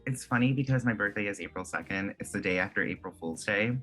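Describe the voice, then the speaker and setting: A man's voice: fairly low pitch, fast, fairly bright tone. A man in his twenties from Michigan, a guest chatting casually in an interview, full of "like" fillers. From Michigan, USA. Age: 20s. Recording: faint music behind.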